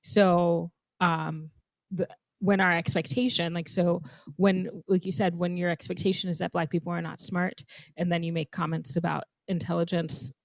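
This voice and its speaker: Animated young woman from zambia chatting on a podcast, thinks out loud, dry close mic. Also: lowish voice, normal speed, vocal fry, dry recording. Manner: animated, thoughtful, laughs often. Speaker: podcast co-host. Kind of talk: casual conversation.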